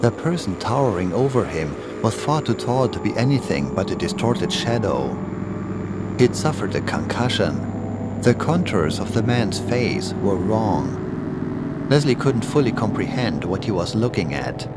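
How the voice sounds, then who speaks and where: A man's voice: on the low side, sing-song, measured pace, heavy background noise. A man reading a scripted horror story in a dramatic, ominous way as its narrator. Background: creepy music and sound effects.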